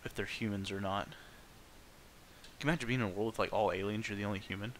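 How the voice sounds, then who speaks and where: A man's voice: on the low side, steady tone, fast talker, slight background noise. A man giving casual commentary while playing through a video game. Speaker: game streamer.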